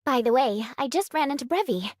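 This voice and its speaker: Chatty young game companion. Friendly, high voice, close dry sound. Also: female voice, expressive, a little fast, no echo. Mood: casual, curious. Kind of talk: English anime game voice acting.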